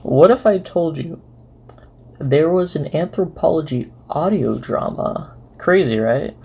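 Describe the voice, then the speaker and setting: A woman hosting a casual reaction video, with rambling, repetitive, deadpan joking asides. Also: low-pitched, unhurried, vocal fry, slightly rough.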